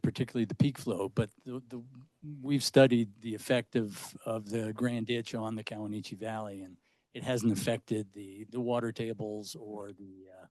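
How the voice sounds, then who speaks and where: A man speaking as a scientist on a panel, giving an unscripted, halting, technical and matter-of-fact explanation. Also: mid-range voice, mellow, no room sound. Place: indoor public panel discussion at a library.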